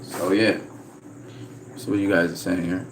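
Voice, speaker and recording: A man from Trinidad chatting casually on a livestream, reading out viewer comments in a relaxed way. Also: low-pitched, a little slow, a little hiss or hum, low-quality recording. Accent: slight American-sounding Trinidadian. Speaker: vlogger.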